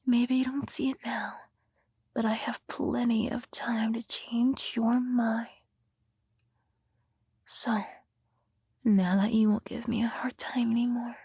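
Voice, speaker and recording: A woman performs a possessive yandere girlfriend for an ASMR roleplay. Her voice is breathy and often slips into whispers, very slow and intimate in a dry, close sound. She is coaxing and sweet, with soft menace underneath. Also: a little high, no echo, heavily acted. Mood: sweetly controlling. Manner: slow, coaxing, softly threatening.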